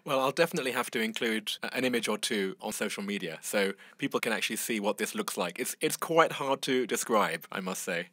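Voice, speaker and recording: A man's voice: lowish voice, a little fast, few pauses, sharp tone, no room sound. A man hosting a conversational interview podcast, putting questions to a guest and responding.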